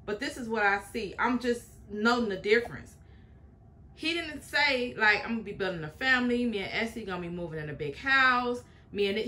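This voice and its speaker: A woman's voice: on the high side, bright, forceful tone, a little hiss or hum. A young woman hosting a reaction video, chatting frankly and opinionated to her viewers, with in her speech. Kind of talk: casual reaction commentary.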